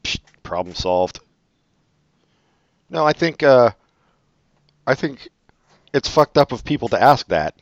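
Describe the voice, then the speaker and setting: A man's voice: medium pitch, lively intonation, takes it slowly, long pauses, dry recording, highs cut off. A man co-hosting a casual chat, reading advice letters and making sarcastic, profane jokes. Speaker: podcast co-host.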